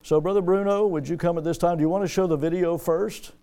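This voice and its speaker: A man serving as pastor, introducing a guest speaker during a church service in an unhurried, halting way. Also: on the high side, fairly even intonation, warm tone, smooth.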